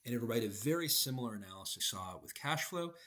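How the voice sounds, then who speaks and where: Man presenting a demo, very lively pitch. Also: fast. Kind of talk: explanatory product demo, semi-scripted talk.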